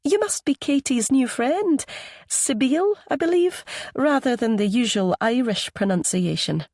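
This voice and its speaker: A brisk, curious older woman, forward and effusive played by a woman reading an audiobook. Her voice sits high and moves in lively swoops, recorded close and dry. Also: no echo. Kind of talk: audiobook character dialogue.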